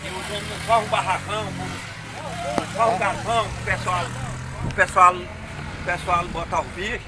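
A man's voice: sits high, fairly even intonation, normal speed. A man, a seller at an outdoor animal market, speaking bluntly in casual chat. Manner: blunt, complaining about politicians. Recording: noisy outdoor phone recording.

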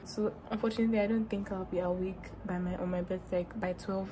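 A woman in her twenties with a Nigerian accent, chatting casually into a phone held at arm's length in her bedroom, animated and self-mocking, sounding sleepy and tired. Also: mid-range voice, a little fast, noisy background. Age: 20s. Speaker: vlogger. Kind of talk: casual selfie vlog chat. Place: small bedroom indoors.

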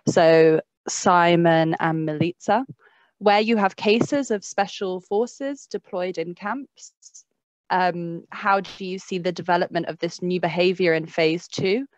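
A woman's voice: lowish voice, slow pace, no echo, poor audio. A woman moderating an online panel informally, speaking into a headset on a video call from a room indoors. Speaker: webinar moderator. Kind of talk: informal panel moderation, reading out audience questions.

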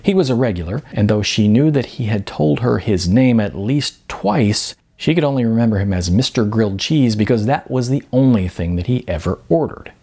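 A middle-aged man reading his novel aloud as an author, in an animated, emphatic audiobook storytelling style. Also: mid-range voice, normal speed, few pauses, breathy edge. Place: small furnished room indoors.

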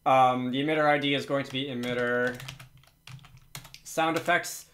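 A man's voice: mid-range voice, steady tone, normal speed, a bit bright, a little hiss or hum. Man giving an informal coding tutorial. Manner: hesitant, thinking aloud while working. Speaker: tutorial host. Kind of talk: informal screen-recorded programming tutorial. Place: indoors at a computer, screen recording with typing.

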